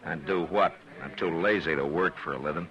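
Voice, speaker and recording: A weary frontier marshal in an old radio western. His voice is very deep, and he speaks calmly and dryly without hurry. The broadcast is dull and lo-fi, with a murmuring crowd behind him. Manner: calm, unhurried and dry.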